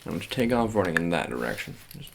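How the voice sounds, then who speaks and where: A man speaking softly with a gravelly voice in a casual ASMR roleplay, giving low-key, hesitant, repetitive instructions as an agent. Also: big pitch swings, fast, noisy background.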